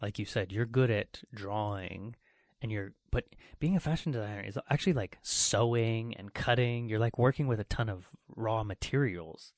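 A man's voice: on the low side, normal speed, no room sound, studio quality. A man hosting a show, speaking in a chatty, effusive, casual interview style. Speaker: show host.

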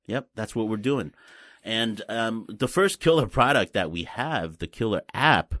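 Deep-voiced man explaining things haltingly. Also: lively intonation, a bit bright, no echo, studio quality. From Taiwan. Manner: halting, repeats words, explains simply. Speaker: guest, blockchain company representative. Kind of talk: casual interview chat.

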